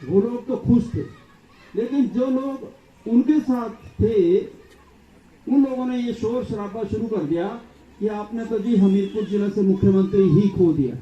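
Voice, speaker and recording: A man's voice: high voice, measured pace, dark tone. Man giving a distant echoey public speech. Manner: rhetorical, repetitive lists. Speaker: political speaker. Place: public gathering. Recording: echoey, distant, dull sound.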